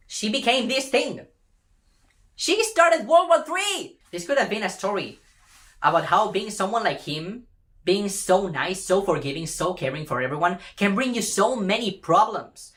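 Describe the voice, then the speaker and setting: A woman's voice: mid-range voice, a bit bright. A woman delivering an impassioned opinion rant in an emphatic, exasperated way, repeating phrases for effect and swearing casually. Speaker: fan commentator.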